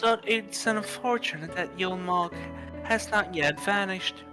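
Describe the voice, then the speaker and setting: A man reading visual novel dialogue and narration aloud on a livestream, halting and stumbling over some words. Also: sits high, over music.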